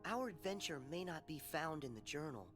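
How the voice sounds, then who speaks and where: A woman's voice: sits low, big pitch swings, brisk, soft background music. A woman playing a kind, hopeful young male cartoon hero in a video game. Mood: reassuring.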